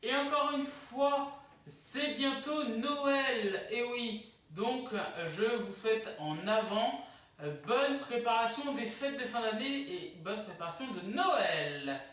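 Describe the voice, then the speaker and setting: A YouTube host casually presenting a countdown video in a chatty, halting way. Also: mid-range voice, expressive, a bit bright. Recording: echoey room, distant microphone.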